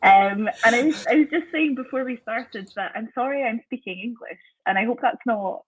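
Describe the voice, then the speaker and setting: A woman's voice: fairly high pitch, moderate pace, slightly rough. A woman with a Scottish accent, a guest lecturer giving a friendly, upbeat talk over a video call. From Scotland. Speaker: guest speaker, theology lecturer. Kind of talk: casual presentation on a video call. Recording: tinny call audio.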